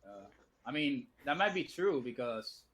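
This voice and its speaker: A man joking loudly in a casual online voice chat, laughing often and putting on mock-dramatic lines. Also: medium pitch, sing-song, a little slow. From Puerto Rico. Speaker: debate participant.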